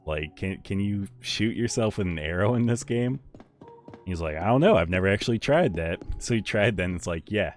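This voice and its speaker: A young man with a deep voice chatting casually with viewers while he plays a game on a live stream, rambling in a relaxed way. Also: moderate pace. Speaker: livestreamer. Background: faint game music.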